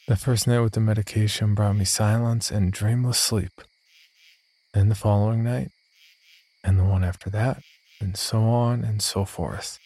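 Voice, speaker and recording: A man performing scripted first-person horror narration as an uneasy young man, in a measured, confiding way with deliberate pauses. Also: on the low side, warm tone, dry recording. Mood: reflective, uneasy. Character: uneasy young man recounting his sleep disorder. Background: background music.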